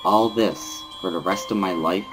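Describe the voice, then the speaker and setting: A man's voice: sits low, moderate pace, music in the background, poor audio. A man giving a somber, weary first-person dramatic reading of a visual novel as narrator.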